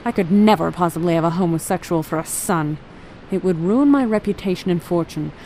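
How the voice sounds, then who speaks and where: A woman's voice: on the low side, a little fast, a little mellow, slight background noise, lightly acted, low-quality recording. A woman voicing a cold, scheming middle-aged mother who is a murderer, in an amateur radio drama, speaking with haughty disdain.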